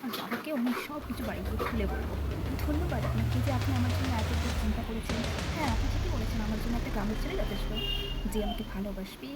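A woman's voice: a little high, quick pace, pitched below the performer's own voice, close to an everyday voice. A woman voicing a modest, dignified young woman in an amateur puppet show, hurt but polite and restrained. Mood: hurt, composed. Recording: noisy amateur recording.

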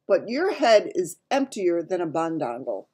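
A woman's voice: lowish voice, big pitch swings, measured pace, darkish tone, breathy edge, heavily acted. A woman voicing a big male giant from a children's storybook, blustery and emphatic, with muddled grammar and invented words. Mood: stern, blustery. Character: big friendly giant man, blustery, mangles his words.

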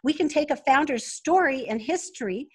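A woman's voice: high voice, expressive, moderate pace. A woman speaking conversationally as a guest in an interview, explaining her business. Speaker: podcast guest, business founder.

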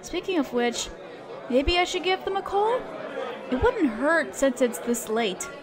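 A woman's voice: high voice, measured pace, a crowd in the background. A woman acting as a caring, protective best friend in an audio roleplay, speaking casually and emphatically. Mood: concerned, casual. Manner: expressive, emphatic, casual with mild swearing.

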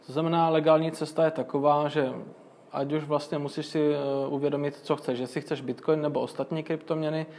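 A man's voice: a little high, flat delivery, a little mellow. A young man answering interview questions in a casual way, explaining at length with frequent fillers and restarts. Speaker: guest, crypto magazine owner.

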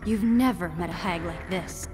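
A woman's voice: on the low side, moderate pace, close to an everyday voice. A woman playing an anguished, frightened young possessed woman, pleading, in a video game. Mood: fearful warning. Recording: music behind studio game voice.

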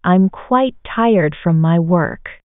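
A woman's voice: on the low side, lively intonation, dry recording. A woman narrating a language lesson, reading short phrases and then their translations. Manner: reads each English phrase very slowly, Korean lines quickly. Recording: dry, phone-like narrow band.

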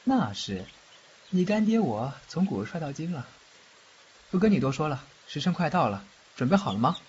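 Man playing lively fugitive underworld official, slightly muffled. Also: fairly high pitch, normal speed, husky, full character voice. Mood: cocky, fond. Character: fugitive underworld official, doting godfather, cocky yet protective. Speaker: voice actor in fan audio drama. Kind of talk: Mandarin fan radio drama acting. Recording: muffled, faint background noise, rain-like ambience.

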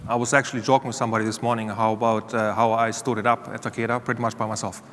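Guy talking quick on a panel, big hall reverb, faint room hum behind. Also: lowish voice, level tone, a bit bright. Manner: fast, conversational, candid. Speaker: panelist, security leader. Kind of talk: conference panel answer. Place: large conference stage. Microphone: lapel mic.

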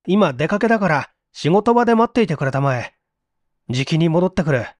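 Storybook master craftsman, voiced by a male reader: casual, bossy, old-fashioned, slightly high, dry sound. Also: sing-song, fairly quick, no echo. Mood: casual, brisk.

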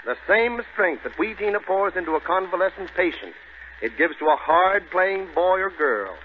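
A man's voice: sits high, lively intonation, normal speed. A man playing a folksy, inquisitive announcer in an old radio advertisement. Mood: persuasive. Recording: tinny, hissy 1930s radio recording.

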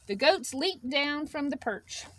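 A middle-aged woman reading a picture book aloud to children, expressively, pausing to ask her young listeners simple questions. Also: sits high, animated. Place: outdoors beside a wire poultry pen. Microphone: camera a step or two away. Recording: outdoor noise with clucking poultry. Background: chickens and outdoor ambience.

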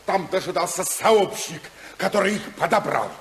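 A domineering, indignant husband in a 1950s radio play. His voice is very high for a man, and he declaims with sarcasm and accusation. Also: animated, moderate pace, fairly bright tone. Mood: insistent, accusing.

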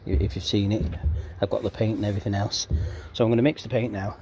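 Flat-toned man, noisy outdoor recording. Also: lowish voice, fast talker. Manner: chatty, informal, rambling. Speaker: DIY vlogger. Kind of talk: casual handheld vlog narration. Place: outdoors in a back garden. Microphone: camera or phone held by the speaker. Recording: outdoor ambient noise, handheld camera.

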